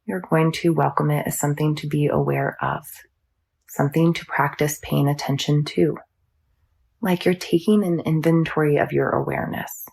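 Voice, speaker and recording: A woman teaching yoga nidra, guiding a meditation in a soothing, measured, instructional manner. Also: low-pitched, lively intonation, gravelly, darkish tone, slightly rough. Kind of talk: guided meditation.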